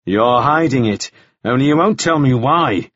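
Man acting suspicious, exasperated man, husky and animated. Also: mid-range voice, a little slow, no echo, dull audio. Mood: scornful, insistent. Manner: pressing, scornful questioning. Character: gruff, suspicious, exasperated man, forceful and accusing. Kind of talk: audiobook character acting.

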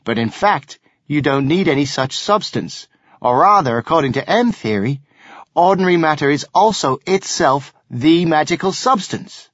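A man's voice: a little high, lively intonation, moderate pace, a bit bright, no echo, muffled recording, voice pushed down. A man voicing a brainy, earnest schoolboy in an audiobook, lecturing pedantically and emphatically. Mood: earnest, enthusiastic. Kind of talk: audiobook character reading.

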